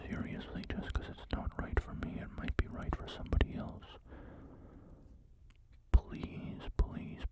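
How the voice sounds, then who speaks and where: Slow, rambling ASMR whisperer. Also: low-quality recording. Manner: rambling and apologetic, many fillers and restarts. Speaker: gaming ASMR creator. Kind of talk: whispered ASMR gameplay commentary.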